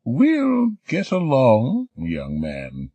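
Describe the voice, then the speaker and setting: A man voicing a spry elderly woman, a grand old stage star, brisk and sharp-tongued but amused. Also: medium pitch, huge pitch swings, creaky, slightly rough, no echo, lo-fi sound, pitched above the performer's own voice, heavily acted. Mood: sly, amused. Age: older.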